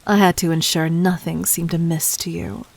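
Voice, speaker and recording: A woman playing a poised demon queen in an audio roleplay, speaking formally to the listener with teasing condescension and breathy, whispered touches. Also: lowish voice, little pitch movement, normal speed. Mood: intrigued.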